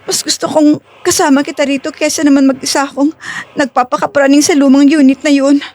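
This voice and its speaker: A woman's voice: high voice, little pitch movement, fairly quick, a little mellow, natural voice. A woman playing a young fugitive who hides her anger, in an emotive Filipino audio drama style. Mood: anxious.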